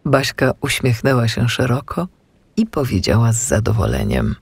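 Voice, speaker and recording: A man narrating a children's audiobook in an expressive, dramatic storytelling manner. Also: medium pitch, normal speed, creaky, slightly rough, dry recording.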